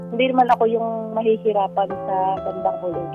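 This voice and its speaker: A woman's voice: mid-range voice, fairly quick. A polite, hesitant woman with a Filipino accent telling her own story as a caller to a radio show over a lo-fi phone call. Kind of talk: casual personal account. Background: faint music.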